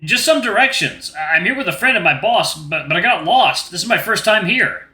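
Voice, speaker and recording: A man's voice: high voice, animated, fairly quick, sharp tone, voice pushed up, heavily acted. A man voicing a timid, worried male gray wolf in a visual novel, hesitant, apologetic and pleading. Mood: nervous, pleading. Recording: roomy echo with music behind.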